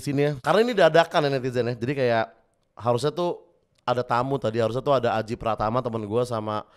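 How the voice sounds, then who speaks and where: A young man hosting a comedic news monologue, his voice a bit hoarse, joking and rambling with self-mocking asides into a studio mic. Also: mid-range voice, quick pace. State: slightly hoarse voice. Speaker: host. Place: small studio with brick wall. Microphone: studio mic on stand with headphones.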